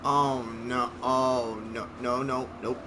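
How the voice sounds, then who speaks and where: A man's voice: medium pitch, flat delivery, takes it slowly, noisy background. A man hosting a gaming video, giving casual commentary and short interjected reactions as he plays. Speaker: gaming channel host.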